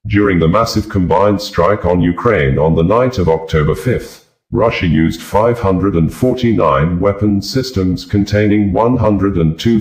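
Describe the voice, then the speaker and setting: A man reading scripted news in a formal, deliberate way. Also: sits low, a little mellow, reverberant, rough recording. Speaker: news reader.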